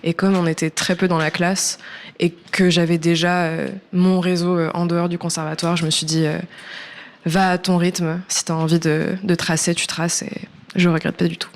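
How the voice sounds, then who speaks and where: A woman's voice: fairly low pitch, flat delivery, moderate pace. A woman in her early twenties, a native English speaker, chatting casually in a radio interview, hesitant and informal, often trailing off. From born in New York, French-American. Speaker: musician, singer guest.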